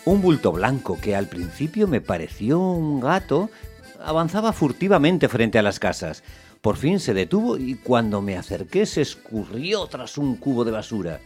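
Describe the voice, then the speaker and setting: An actor narrating a radio play as a measured storyteller, in a deliberate, literary, descriptive way. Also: male voice, mid-range voice, very animated, a little mellow, soft background music, lightly acted. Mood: wry, measured. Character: measured male storyteller, wryly observing a small dog.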